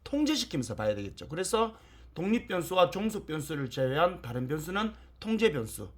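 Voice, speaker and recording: Husky, animated male lecturer. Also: fairly high pitch, a little fast. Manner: didactic, emphatic, repeats key points. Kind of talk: explanatory online lecture with rhetorical questions.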